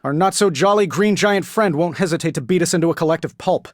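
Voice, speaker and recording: An actor playing a cocky, wisecracking billionaire inventor superhero in a video game, with an American accent and a glib, sarcastic, quippy delivery. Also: male voice, fairly high pitch, fast talker, dry recording, studio quality, close to an everyday voice. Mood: serious. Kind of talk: scripted video game voice acting.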